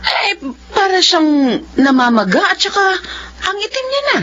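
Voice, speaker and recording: A woman's voice: very high voice, sing-song, a little slow, fairly bright tone, exaggerated voice. A Filipino woman playing an anxious, shaken middle-aged mother in a radio drama, with a dramatic delivery. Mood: shocked. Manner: dramatic, distressed. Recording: muffled radio drama, faint noise.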